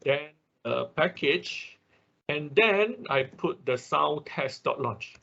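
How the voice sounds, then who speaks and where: A man's voice: a little high, animated, slow, gravelly, pushed and bright, muffled recording. A man giving an informal step-by-step tutorial as instructor of an online class, often checking in as he explains. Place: online class, screen-share voice-over.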